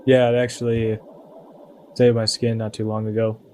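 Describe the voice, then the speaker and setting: A man playing a reserved, dutiful soldier, speaking in a terse, understated monotone. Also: fairly low pitch, normal speed, a little hiss or hum, natural voice. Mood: guarded, concerned. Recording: muffled band-limited audio under music.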